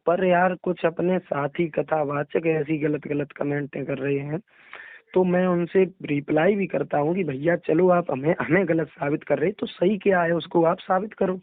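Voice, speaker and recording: A man's voice: on the high side, steady tone, normal speed, no echo. A young man, a religious storyteller talking casually on a phone call, explaining in a deferential way. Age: young adult. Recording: recorded phone call, narrow dry sound.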